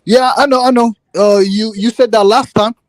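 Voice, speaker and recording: A man's voice: high-pitched, fairly even intonation, moderate pace, dry recording, clean studio sound. A man with a West Coast US accent chatting playfully in an online voice call, teasing and insistent. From United States. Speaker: chat guest. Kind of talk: casual online voice chat.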